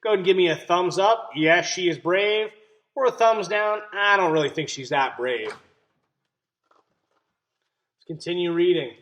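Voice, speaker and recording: A man's voice: sits high, normal speed, a bit bright, dull audio. A man teaching young children through a picture book read-aloud, explaining simply and checking in with questions.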